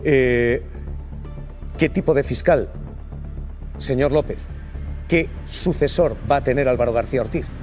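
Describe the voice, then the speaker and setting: A man's voice: medium pitch, measured pace, pauses often, a little mellow, soft background music. A man with a Spanish accent presenting live news, formally describing the scene and putting pointed questions. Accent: Spain.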